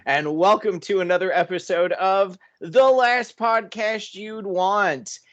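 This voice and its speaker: Male podcast host, voice on the higher side, casual and playful, with an announcer streak. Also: slow, a bit bright, dull audio. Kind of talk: casual comedic chat.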